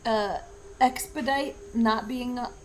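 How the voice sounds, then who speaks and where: A woman's voice: a little high, steady tone, slow, slight background noise. A woman playing a tabletop roleplaying game, talking casually and a little hesitantly. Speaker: player in an actual-play show.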